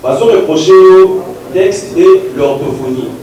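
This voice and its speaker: A man's voice: high-pitched, takes it slowly, dark tone. Journalist man asking in an echoey hall. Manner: formal, long-winded question. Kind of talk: asking a press question. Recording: echoey hall, far from mic, crowd.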